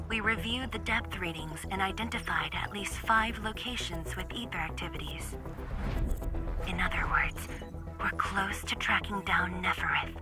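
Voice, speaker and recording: An actress voicing a serious, professional female official who gives formal, measured briefings in a video game. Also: mid-range voice, lightly acted.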